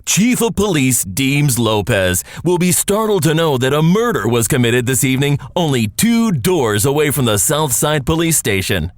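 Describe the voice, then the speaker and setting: Wry radio newsman, lively pitch. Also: normal speed, hardly any pauses, dry recording. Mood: wry newsreading. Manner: wry, casual, dry-humored. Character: laid-back, sardonic male radio newsman and disc jockey. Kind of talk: naturalistic audio drama acting.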